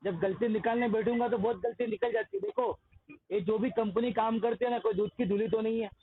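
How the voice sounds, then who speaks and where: Assertive high-voiced man on a phone call. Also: steady tone, fairly quick, husky, no echo. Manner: boastful, assertive, challenging. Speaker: activist confronting a loan agent. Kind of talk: argumentative phone conversation. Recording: narrowband phone call, faint noise.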